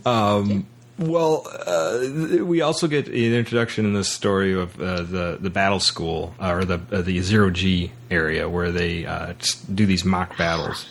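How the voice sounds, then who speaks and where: A man hosting a podcast, casually talking through a film in a halting way, circling back to repeat his words. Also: low-pitched, a little hiss or hum. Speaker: podcast host.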